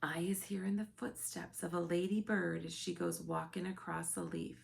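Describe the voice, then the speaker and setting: A woman voicing a gentle, big-eared male giant who talks earnestly in mixed-up, ungrammatical words. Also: on the low side, level tone, moderate pace, gravelly.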